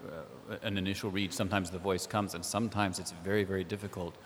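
A man talking as a literary translator on a panel, thoughtful and hesitant, with many pauses and ums. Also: deep voice, steady tone, slight background noise. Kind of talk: panel discussion. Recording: echoey hall.

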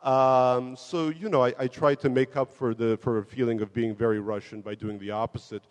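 A man born in the Soviet Union, a guest in an interview, telling stories about himself in a relaxed, self-mocking way with frequent laughs and restarts. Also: medium pitch, normal speed. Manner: self-deprecating, laughs often, many restarts. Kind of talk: relaxed conversational storytelling. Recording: long hall reverb.

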